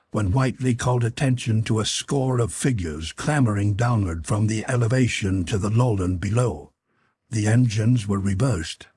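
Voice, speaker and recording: A man narrating a classic adventure novel as an audiobook, in a measured, dramatic storytelling manner. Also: on the low side, darkish tone, breathy edge.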